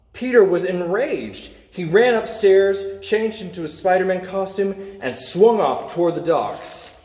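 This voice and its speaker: A man with a slightly high, very animated voice reads a storybook aloud. He stresses the dramatic words like a storyteller. The room rings with echo around him. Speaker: storybook reader. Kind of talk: reading a children's superhero story aloud. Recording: echoey, reverberant room.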